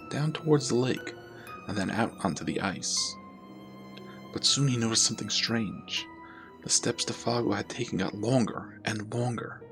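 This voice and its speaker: Male storyteller for horror narration: lowish pitch, measured and dramatic delivery, music underneath. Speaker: storyteller, channel host.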